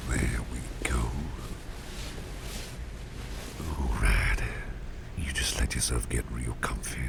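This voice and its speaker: A man playing a doting, protective businessman boss in an ASMR roleplay, murmuring in a gentle, courteous, breathy whisper with unhurried, reassuring repetition. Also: a bass voice, exaggerated voice. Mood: soothing, drowsy. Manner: gentle, unhurried, hesitant pauses, repeats phrases reassuringly. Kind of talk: ASMR roleplay, close personal attention. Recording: audible steady background noise.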